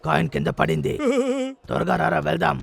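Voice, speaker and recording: An actor voicing a bossy, hurried big brother bear in a cartoon dub. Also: male voice, fairly high pitch, sing-song, gravelly, noisy background, exaggerated voice. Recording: music bed under dialogue.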